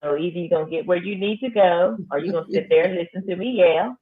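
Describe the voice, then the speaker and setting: A woman's voice: on the low side, quick pace. Firm-spoken principal woman, tinny sound. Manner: firm, emphatic, plain-spoken. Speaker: high school principal. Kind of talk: interview conversation.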